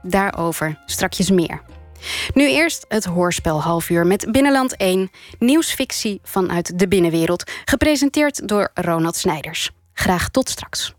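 Over faint music, a woman with a Netherlands Dutch accent presents a late-night radio show. Her voice is lowish, and she reads her introduction in an informal, conversational way straight to the listener. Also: expressive, measured pace, no room sound. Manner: informal, addresses listeners directly. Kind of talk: conversational scripted programme introduction.

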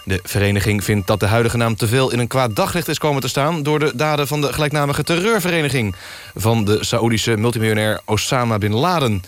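A man's voice: mid-range voice, lightly acted. A man playing a straight-faced radio magazine host, reading mock news and interviewing politely. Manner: deadpan, polite, stumbling in questions.

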